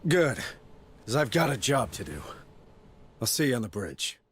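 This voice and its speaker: A man's voice: medium pitch, very animated, normal speed, a bit bright, a little hiss or hum. A man playing a weary, stubborn space marine sergeant in a video game cutscene, speaking tersely and dryly. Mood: determined. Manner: terse, dry.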